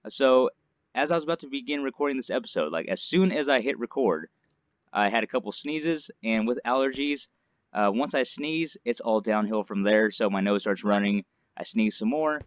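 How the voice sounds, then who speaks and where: A man's voice: mid-range voice, expressive, normal speed, no room sound, muffled recording. A man hosting a survival game series, chatting casually with lots of fillers while sounding stuffed up from allergies. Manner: chatty, rambling, many fillers. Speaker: gaming channel host. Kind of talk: casual let's-play commentary.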